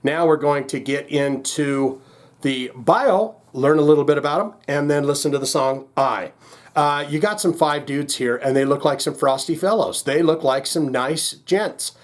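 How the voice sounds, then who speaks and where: Guy doing a music reaction, kinda high voice, rambly and jokey, just chatting away. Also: moderate pace. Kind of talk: casual chatty commentary, thinking aloud.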